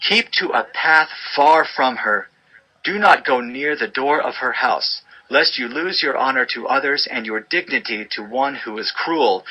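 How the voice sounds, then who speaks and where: A man's voice: medium pitch, bright, forceful tone, rough recording. A man giving a solemn, fatherly dramatized reading of scripture as narrator, instructing as he goes.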